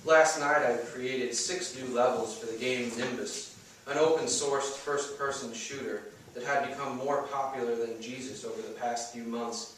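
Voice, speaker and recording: A man's voice: medium pitch, fairly even intonation, normal speed, a bit bright. A man reading first-person fiction aloud as its author at a live bookstore event. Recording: echoey room, distant mic, faint noise.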